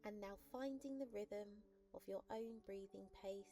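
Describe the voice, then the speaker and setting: A woman with a mellow, relaxed voice of medium pitch leads a sleep meditation. She speaks gently and without hurry, soothing the listener phrase by phrase. Faint music plays behind her. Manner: calm, gentle, unhurried, soothing. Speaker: meditation guide. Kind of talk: guided sleep meditation narration. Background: soft music.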